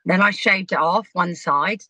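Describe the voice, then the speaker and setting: Brief: woman, 50s, podcast guest, laughs through her stories, bright tone. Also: on the low side, unhurried, slightly rough, no echo, rough recording. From Southampton, England. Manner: chatty, frank, laughs while telling stories. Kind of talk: casual storytelling chat.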